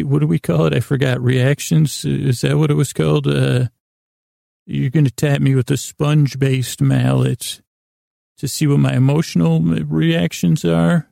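A man hosting a sleep podcast with a gravelly voice, improvising a meandering, whimsical monologue that often trails off and restarts. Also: fairly high pitch, dry recording, studio quality. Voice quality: gravelly, creaky. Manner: gently absurd, whimsical musing. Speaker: podcast host.

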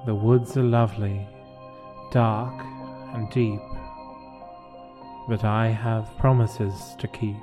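A man reading poetry as a podcast host, with measured, deliberate phrasing. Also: lowish voice. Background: music.

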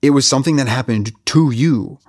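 An actor playing a stressed, self-doubting podcast host with an American accent, in hesitant, naturalistic audio drama dialogue. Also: male voice, medium pitch, a little fast, a little mellow, natural voice. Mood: uneasy, probing. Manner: hesitant, searching, conversational.

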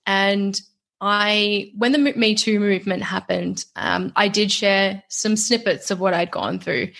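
A woman's voice: mid-range voice, creaky, a bit bright. An Australian woman, a young adult, talking openly and earnestly as a guest on a podcast interview. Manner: talkative, earnest, open.

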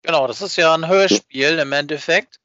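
A man in casual podcast conversation, speaking haltingly and often checking for agreement. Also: on the high side, pushed and bright, no echo, dull audio. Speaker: podcast co-host.

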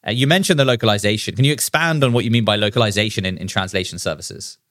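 A man's voice: fairly low pitch, quick pace, a bit bright, dry recording, clean studio sound. A man with a British accent hosting an interview, asking curious questions and prompting with short hums. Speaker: podcast host. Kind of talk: interview conversation.

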